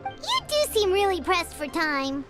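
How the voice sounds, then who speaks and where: Game companion: little excitable fairy girl, extremely high squeaky voice, very animated, prominent background music. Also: unhurried, full character voice. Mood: curious. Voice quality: squeaky, childlike. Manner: refers to herself in third person, exclamatory. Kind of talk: video game character acting.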